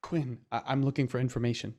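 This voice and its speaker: A man playing a guarded, earnest young man in a present-day audio thriller, speaking haltingly. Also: medium pitch, fairly even intonation, darkish tone, close to an everyday voice. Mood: earnest, pressing. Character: guarded, hesitant young man searching for a missing friend.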